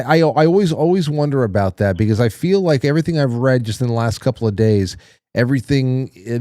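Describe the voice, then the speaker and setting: A man's voice: mid-range voice, warm tone, no room sound, clean studio sound. Joking, foul-mouthed male radio host. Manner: swears freely, joking banter. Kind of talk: casual live call-in chat.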